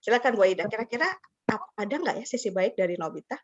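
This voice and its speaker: A woman's voice: mid-range voice, lively intonation, quick pace. A woman presenting an online teacher-training webinar, chatty, slipping in English words and laughing often. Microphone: video call. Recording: slightly dull lo-fi call audio.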